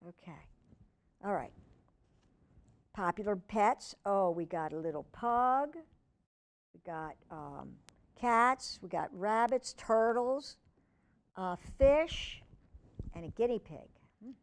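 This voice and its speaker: A woman's voice: medium pitch, lively intonation, takes it slowly, long pauses. A woman reading a children's book aloud expressively, with chatty asides to young listeners and a pet. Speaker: children's storytime reader.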